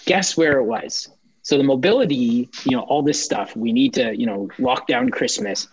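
A man's voice: medium pitch, very animated, moderate pace, creaky, slightly rough. A man speaking as a panelist over a video call, explaining carefully and building his point with examples. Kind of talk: panel discussion. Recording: dull, lo-fi, dry call audio.

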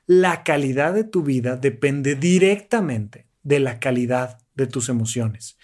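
A man with a Mexican accent giving an earnest, emphatic motivational talk as a life coach. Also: a little high. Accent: Mexican Spanish. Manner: earnest, emphatic, mimics the people he critiques.

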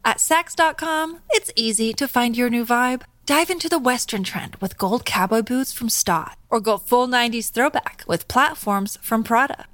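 A woman's voice: fairly high pitch, fairly bright tone, no echo. A woman announcer reading a scripted fashion advertisement.

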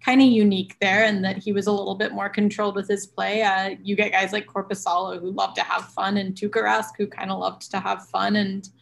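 A woman's voice: medium pitch, little pitch movement, a little fast, vocal fry, hardly any pauses. A woman guest on a podcast discussing goalie prospects in detail, thinking aloud with frequent ums. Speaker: podcast guest, hockey analyst. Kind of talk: casual hockey chat.

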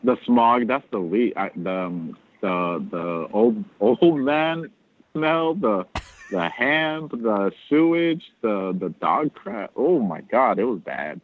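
A man's voice: medium pitch, lively intonation, takes it slowly, dry recording. A man chatting casually as a podcast guest, telling self-deprecating stories. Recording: tinny phone-like line.

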